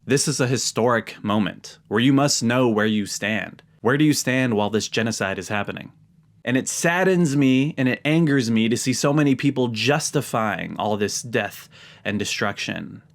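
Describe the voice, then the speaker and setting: A man's voice: medium pitch, normal speed. An American man speaking earnestly and emphatically, as a host giving religious commentary straight to his viewers. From United States. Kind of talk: earnest talk to camera.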